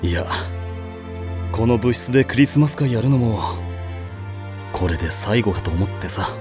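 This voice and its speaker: A man voicing a dependable high-school team captain in an anime audio drama, upbeat, firm and quick to take charge. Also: expressive, natural voice. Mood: wistful, firm. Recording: music playing behind the voice.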